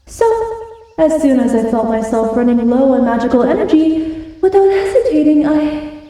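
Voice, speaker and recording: A woman playing a proud, feral but soft-hearted giant wolf girl in an ASMR roleplay, with breathy, dramatic pauses. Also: high voice, close to an everyday voice. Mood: dark, menacing. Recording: very echoey, distant from the mic.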